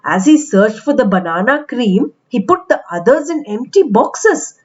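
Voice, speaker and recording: A woman reading a picture book aloud to young children in an expressive, deliberate storytelling manner. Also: on the high side, highs cut off.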